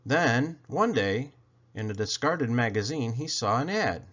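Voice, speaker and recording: Animated male storybook reader. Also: mid-range voice, measured pace, breathy edge, highs cut off. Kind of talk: expressive children's read-aloud narration.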